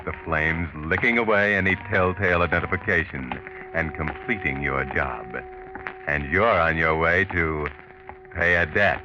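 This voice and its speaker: Sinister old radio mystery narrator, really deep, deliberate and mocking, music underneath. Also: male voice. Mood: ominous, taunting. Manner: deliberate, taunting, ominous. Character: sinister, sardonic narrator, a taunting voice of fate. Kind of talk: 1940s radio mystery narration, second person. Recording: muffled vintage radio recording.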